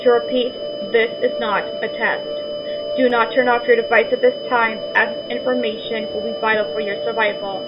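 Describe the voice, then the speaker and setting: A woman playing a grave emergency alert announcer, reading a scripted bulletin in a formal, measured way. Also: a little high, level tone, lightly acted. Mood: grave, official. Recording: very loud noise, hum behind.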